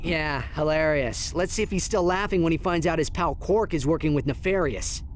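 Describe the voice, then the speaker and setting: A man's voice: moderate pace, lightly acted. A man voicing a brash, sarcastic young furry alien hero in a casual, youthful voice for a video game cutscene. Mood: scornful, sarcastic. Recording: clearly noisy game audio with ambient rumble.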